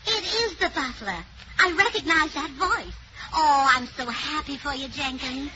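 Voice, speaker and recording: A woman's voice: high-pitched, unhurried. A woman playing a scatterbrained, cheerful housewife in 1940s radio comedy. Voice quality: bright, naive. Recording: dull lo-fi old radio with hiss.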